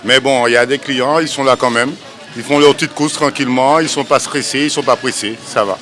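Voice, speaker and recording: Shop guy chatting to a reporter, average pitch, everyday talk. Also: fairly even intonation, brisk, fairly bright tone. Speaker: shop worker interviewed. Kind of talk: casual on-the-spot interview.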